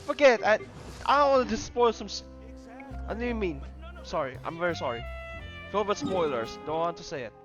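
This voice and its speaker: A man's voice: high voice, lively intonation, a little fast, pauses often, dull audio. A man giving casual playthrough commentary, muttering and trailing off, often breaking into giggles. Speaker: game streamer. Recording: game music behind the voice.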